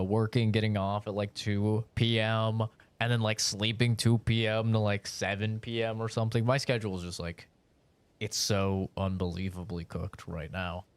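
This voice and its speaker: A man's voice: fairly low pitch, smooth, no echo. Laid-back young man streaming a game. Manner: rambling, laid-back, swears casually. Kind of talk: casual live-stream chat while gaming.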